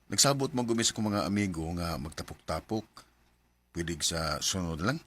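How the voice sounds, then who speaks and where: An actor playing an evasive, casual young boyfriend in a Bisaya radio drama, speaking with a Cebuano Filipino accent. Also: male voice, deep voice, lively intonation, normal speed, a bit bright, slight background noise. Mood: apologetic, evasive.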